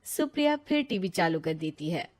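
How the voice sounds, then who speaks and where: A woman narrating a cartoon story in a calm, matter-of-fact way. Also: lowish voice, normal speed.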